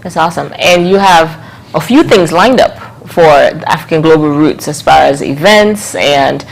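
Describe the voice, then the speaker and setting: Low-pitched woman hosting an interview. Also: animated, normal speed, vocal fry, poor audio. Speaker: TV interview host. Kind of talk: conversational interview.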